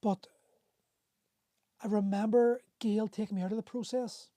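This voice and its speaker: A man's voice: high voice, fairly even intonation, a little slow, warm tone, dry recording, studio quality. A middle-aged man hosting a livestream, telling a personal story candidly and emotionally, swearing freely and often stopping to restart his sentences. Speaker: host. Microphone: phone on a livestream.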